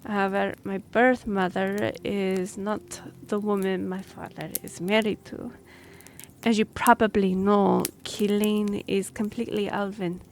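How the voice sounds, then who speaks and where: A woman's voice: mid-range voice, a little hiss or hum, lightly acted. A woman playing an earnest young half-elf noblewoman and royal envoy in a fantasy roleplay audio drama, speaking formally with some awkward hesitation. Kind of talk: fantasy roleplay audio acting, addressing the listener.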